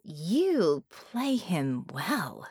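A woman's voice: lowish voice, big pitch swings, very slow, a little mellow, husky, no echo, full character voice. A woman voicing a haughty, cunning, bored villainess in a cage, with taunting, formal, theatrical phrasing, for an audiobook. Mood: taunting, probing.

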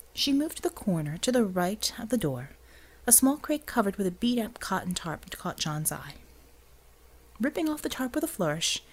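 A woman's voice: medium pitch, a little mellow. A woman reading scripted, dramatic narration as an audiobook narrator.

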